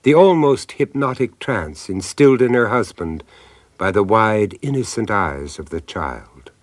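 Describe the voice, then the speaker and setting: A male storyteller narrating radio horror, recorded dry. His low voice rises and falls expressively, with a grave, deliberate and suspenseful delivery. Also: lively intonation, no room sound. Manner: dramatic, deliberate.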